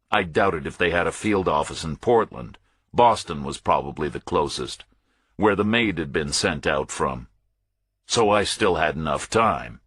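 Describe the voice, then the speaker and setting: A man's voice: deep voice, a bit bright, slightly rough, dry recording, studio quality. A man narrating a first-person thriller audiobook in short, clipped, terse phrases.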